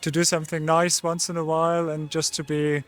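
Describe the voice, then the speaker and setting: A man answering a street interview with a calm, even delivery. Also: fairly high pitch, fairly quick.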